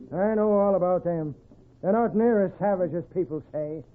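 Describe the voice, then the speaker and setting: An actor playing a stubborn, greedy boss of gold-seeking settlers in a 1940s radio western, gruff, bossy and defiant. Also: male voice, sits high, normal speed, close to an everyday voice. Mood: scornful, defiant. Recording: tinny, noisy 1940s radio recording.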